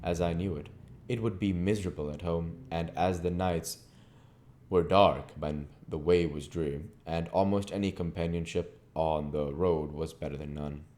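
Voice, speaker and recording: A man's voice: low voice, fairly even intonation, moderate pace, a little mellow, a little hiss or hum. A man reading a classic novel aloud as narrator, now and then stumbling over words and correcting himself. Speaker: audiobook reader.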